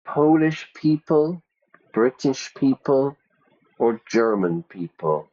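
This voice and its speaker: Slow-talking male English teacher. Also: mid-range voice, big pitch swings, darkish tone, muffled recording. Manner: slow, stretched-out questions with repeated options. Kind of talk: ESL quiz lesson.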